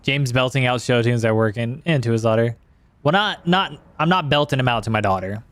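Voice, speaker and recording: A man with a slightly hoarse voice, chatting casually and haltingly while livestreaming a game. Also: mid-range voice, a bit bright. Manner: rambling, halting, self-correcting. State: hoarse from singing.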